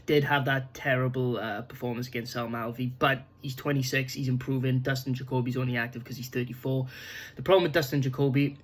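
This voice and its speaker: Flat-voiced Welsh man giving fight picks. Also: mid-range voice. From Wales. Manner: rambling, frequent fillers and restarts, candid. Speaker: MMA betting tipster. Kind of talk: casual fight predictions to camera.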